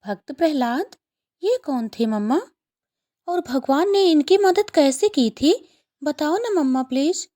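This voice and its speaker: Woman acting a little girl bird, high-pitched, childlike, pleading, eager, children's cartoon. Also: animated, measured pace, dark tone. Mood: curious, eager.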